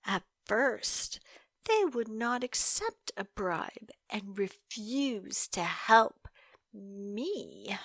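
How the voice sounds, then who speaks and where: Woman acting as young female yandere captor, high-pitched, lively intonation, cooing, teasing, possessive, sweetly menacing, whispery moments, audio roleplay. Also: no room sound, heavily acted. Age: young adult. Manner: cooing, teasing, slow and deliberate, breaking into whispers. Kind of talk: intimate scripted roleplay monologue.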